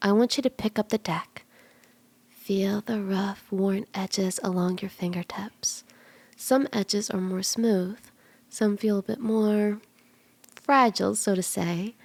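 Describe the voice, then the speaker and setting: Soft, dry, close-miked kitsune mystic played by a woman. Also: medium pitch, gravelly, no echo. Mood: soothing, guiding. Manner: gentle, playful, unhurried, slips into whispers. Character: cute, friendly kitsune fox-woman mystic and tarot reader. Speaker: ASMR roleplay voice actor. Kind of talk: ASMR roleplay, soft-spoken and often whispered. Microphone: close ASMR microphone in a dry space.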